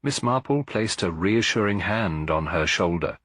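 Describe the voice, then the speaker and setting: A man reading a scripted story as an audiobook narrator, in a measured, literary manner. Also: sits low, expressive, fairly bright tone, no echo, studio quality.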